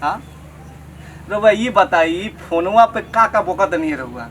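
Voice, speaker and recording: Man playing a high-pitched, pleading young village lad. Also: lively intonation, a little slow, fairly bright tone, a little hiss or hum. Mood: boastful, indignant. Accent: Bhojpuri. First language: Bhojpuri. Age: young adult. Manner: wheedling, boastful, repetitive. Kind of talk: comedy skit dialogue.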